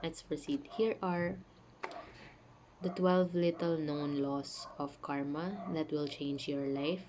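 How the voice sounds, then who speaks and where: A woman hosting a casual spiritual talk at home, sounding sick with a mellow voice, chatty with fillers and asides. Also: low voice, fairly even intonation, takes it slowly, a little hiss or hum. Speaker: channel host. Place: home, dogs nearby.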